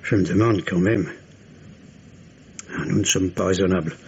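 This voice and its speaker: A solicitous middle-aged father in an old radio mystery. His voice is fairly low, measured and hesitant as he reassures. The sound is muffled with faint noise. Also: fairly even intonation, vocal fry, a little mellow. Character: solicitous, reasonable father, quietly anxious husband. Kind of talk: 1950s French radio mystery acting. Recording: dull, noisy vintage radio recording.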